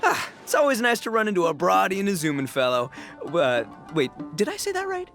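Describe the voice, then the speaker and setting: A brash, big-hearted young gang boss, with a bright, forceful voice that swings wildly up and down. He blusters and stammers in loud bro slang like a video game goofball, over light music. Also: high voice, sing-song, fairly quick, full character voice. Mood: excited, friendly. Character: boisterous, broke, good-natured young gang boss from a fantasy land. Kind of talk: animated video game voice acting.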